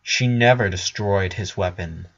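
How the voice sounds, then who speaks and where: A man's voice: low voice, unhurried, highs cut off. A man narrating scripted fantasy fiction as a storyteller.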